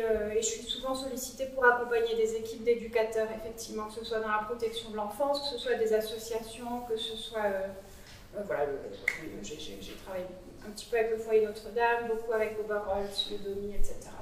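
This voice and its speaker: A woman's voice: fairly high pitch, fairly quick, distant mic, a little hiss or hum. A woman psychologist speaking conversationally to a small audience in a cool meeting room, away from the microphone. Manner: conversational, interactive, frequent "euh" fillers. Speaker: psychologist giving a talk. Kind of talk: interactive conference talk. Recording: very echoey room, voice from afar.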